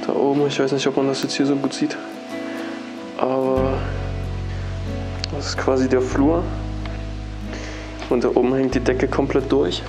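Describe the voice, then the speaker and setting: A man's voice: medium pitch, flat delivery, a little slow, long pauses, over music. A man giving casual, on-the-spot commentary as he explores inside a derelict building. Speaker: urban explorer and presenter.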